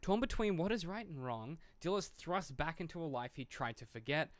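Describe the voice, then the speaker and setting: A man's voice: a little high, animated, brisk, no echo. A man co-hosting a film podcast, talking casually in a dry, rambling way. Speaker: podcast co-host. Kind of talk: casual film discussion, sometimes reading a plot summary.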